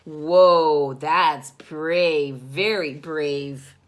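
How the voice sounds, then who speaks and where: A woman reading a children's story aloud in a teacherly manner, pausing to put questions to young listeners and explain things. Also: low-pitched.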